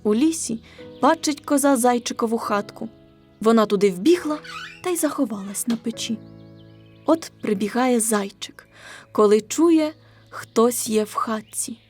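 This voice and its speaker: A woman storyteller reads a children's folk tale in a high voice. Her delivery is expressive and measured, with a slow pace and music behind her.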